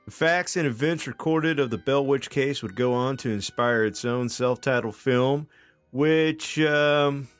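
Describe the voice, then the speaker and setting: A man's voice: medium pitch, unhurried, faint music behind. A man co-hosting a podcast, chatting casually with lots of fillers and laughing asides, then telling a spooky story. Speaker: podcast co-host.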